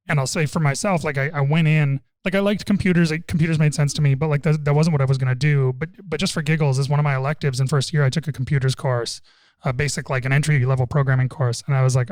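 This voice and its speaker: A man from suburban Toronto speaking as a podcast guest, relaxed and wry, with many restarts. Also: mid-range voice, no echo. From Toronto suburbs, Canada. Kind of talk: casual interview talk.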